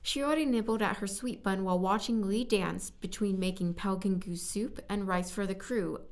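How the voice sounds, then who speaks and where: A woman recording scripted story narration as a voice actor, halting at times and repeating lines. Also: medium pitch. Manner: halting, stumbling over words and repeating takes.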